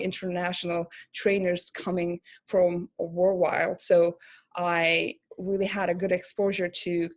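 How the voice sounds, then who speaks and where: A woman from Turkey, a career coach and pharmacist, talking in a casual interview in a thoughtful, halting way with many fillers and restarts. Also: on the low side, darkish tone.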